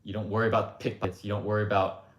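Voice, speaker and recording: A man's voice: lowish voice, flat delivery, rapid-fire, dull audio, noisy background. A man hosting a livestream, talking in a blunt, rambling, opinionated way with lots of asides. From United States. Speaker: livestream host. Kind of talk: casual opinionated monologue. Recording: roomy echo.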